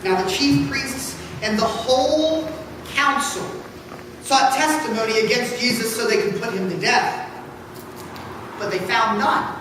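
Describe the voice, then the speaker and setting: Man, pastor, dramatic scripture reading, high voice, emphatic, measured, reverberant, noisy recording. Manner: solemn, measured, emphatic phrasing. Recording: echoey, noisy hall from far off.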